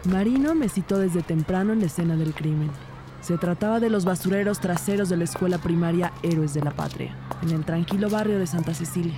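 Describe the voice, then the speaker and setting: Low-voiced actress as a female junior detective. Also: brisk, noisy background, lightly acted. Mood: composed, inquisitive. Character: junior woman detective narrating her case. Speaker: narrator and detective character. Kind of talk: scripted audio drama narration. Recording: music playing behind the voice.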